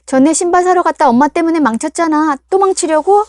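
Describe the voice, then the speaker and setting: A woman voicing a resentful teenage daughter who snaps and accuses in loud, rapid outbursts. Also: sits high, big pitch swings, no echo, clean studio sound, voice pushed up. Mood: sullen.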